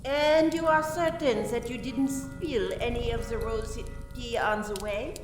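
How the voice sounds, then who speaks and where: Woman acting a slow, high-voiced French inspector, echoey. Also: steady tone, over music, lightly acted. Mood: formal, probing. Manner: deliberate, formal questioning, peppered with French phrases. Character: French woman police inspector, formal, methodical, firm. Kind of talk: radio play acting. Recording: echoey room from far off, faint noise.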